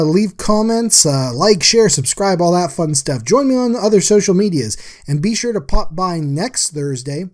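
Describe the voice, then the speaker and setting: Male artist-host, a little high in pitch, informal and chatty, casual art recap narration. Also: a little mellow. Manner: chatty, informal, addresses viewers as guys. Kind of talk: casual drawing recap voice-over.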